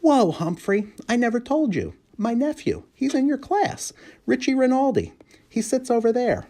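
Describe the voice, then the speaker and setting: A booming, exuberant storybook janitor, performed by a male reader, chummy and folksy. His pitch rides high and swings widely from phrase to phrase. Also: big pitch swings, moderate pace, warm tone, heavily acted. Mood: excited. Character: loud, jolly, chummy night janitor, adult man. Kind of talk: children's storybook character voice.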